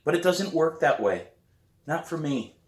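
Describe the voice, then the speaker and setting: A man reading a novel aloud as its author, with expressive, deliberate and dramatic storybook narration. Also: a little high, echoey room.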